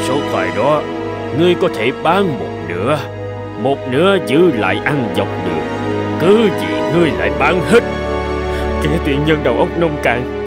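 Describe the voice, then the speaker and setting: An actor playing a stern, imposing underworld judge in a cartoon folk tale, scolding solemnly. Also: male voice, a little high.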